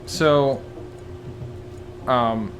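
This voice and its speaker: A man's voice: medium pitch, steady tone, very slow. Brief: male host for an informal podcast, mid-range voice, light music in the background. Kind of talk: casual podcast chat.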